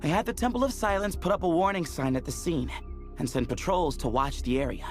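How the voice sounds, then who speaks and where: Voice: mid-range voice, brisk, faint music behind, close to an everyday voice. An actor voicing a confident, breezy young leader of a desert temple in a video game, with a casual, wry manner. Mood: matter-of-fact. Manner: casual, wry, self-assured. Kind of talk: video game voice acting, conversational.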